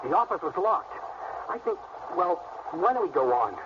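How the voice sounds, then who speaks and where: A man's voice: sits high, sing-song, moderate pace. An actor playing an uneasy, placating father in a radio drama, on a very noisy, dull recording. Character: uneasy, placating middle-aged father and husband.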